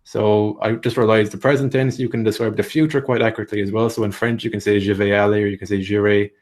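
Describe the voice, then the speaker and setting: A man giving language-learning tips in a live training session, explaining steadily with frequent "so" and "like". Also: lowish voice.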